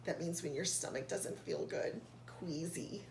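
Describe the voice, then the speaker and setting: A woman reads a storybook to young children in the manner of a teacher, at a slow pace. She stops for short explanations and sounds out important words with care. Her voice has a medium pitch and rings in an echoey room, with faint noise behind it. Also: gravelly. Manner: didactic, enunciates and sounds out key words for children. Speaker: teacher reading a picture book aloud to young children. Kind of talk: read-aloud storytelling with explanatory asides.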